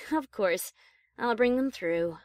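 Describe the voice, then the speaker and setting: A woman plays a haughty, high-class lady pony in an audio story. Her voice sits fairly high and swings expressively, with precise, refined wording. She is dry and exasperated, yet fond, and sounds very closely recorded with no room. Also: animated, takes it slowly, no room sound. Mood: teasing, commanding. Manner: prim, dry, exasperated formality. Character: prim, haughty high-class female pony, dryly affectionate. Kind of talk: scripted audio story character acting.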